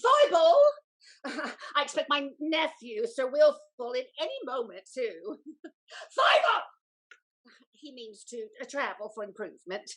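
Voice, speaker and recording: A woman's voice: high voice, sing-song, measured pace, a bit bright, heavily acted. A woman playing a vain, flustered older aristocratic aunt in a Restoration comedy, effusive and stammering, full of exclamations. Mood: excitable. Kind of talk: theatrical Restoration comedy acting.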